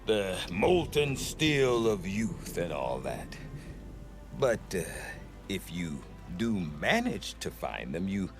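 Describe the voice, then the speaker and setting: Wily, sentimental male merchant for a fantasy game: lowish pitch, very expressive, wry self-justifier. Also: takes it slowly, soft background music, heavily acted. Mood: pleading, animated. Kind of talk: video game cutscene acting.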